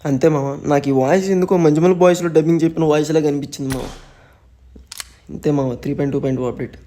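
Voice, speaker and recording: A man's voice: fairly high pitch, fairly even intonation, very fast, mellow. A man giving casual commentary on a game update as a gaming channel host.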